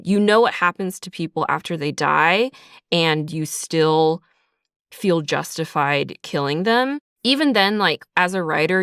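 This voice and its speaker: A woman's voice: fairly low pitch, a bit bright, clear, resonant tone, no room sound, clean studio sound. A woman guest speaking casually and reflectively as a writer in an interview, thinking aloud as she goes. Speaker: writer and creator of an audio drama, guest. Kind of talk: casual behind-the-scenes interview.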